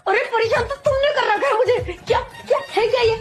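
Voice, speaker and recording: A woman's voice: very high voice, quick pace. A woman playing a furious, scolding wife in a comedy cartoon skit, exclaiming at her husband. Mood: distraught.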